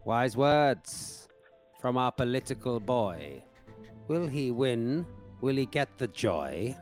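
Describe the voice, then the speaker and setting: A man's voice: mid-range voice, sing-song, takes it slowly, darkish tone, faint music behind. A man from England co-hosting a comedy podcast, playful and sing-song, hamming up his lines. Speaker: podcast co-host. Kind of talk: playful podcast banter and sign-off.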